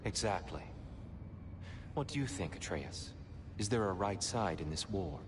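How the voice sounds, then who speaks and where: An actor playing a calm, wise god in a fantasy video game, measured and gently probing. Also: male voice, low voice. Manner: measured, Socratic. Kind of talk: video game character dialogue. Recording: noisy game audio with long reverb.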